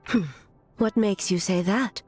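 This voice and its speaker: A woman voicing a stoic, aloof immortal shogun in a video game, speaking in a formal, grave and measured way over music. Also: mid-range voice, a little mellow, natural voice. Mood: solemn, reflective. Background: background music.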